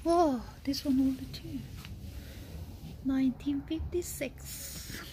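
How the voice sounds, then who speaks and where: A woman talks slowly through a casual walkthrough in a high voice. She drops short, simple exclamations as she goes, recorded on a handheld phone indoors with a faint hiss underneath. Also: steady tone, mellow. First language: Korean. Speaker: travel vlogger. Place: vehicle museum indoors.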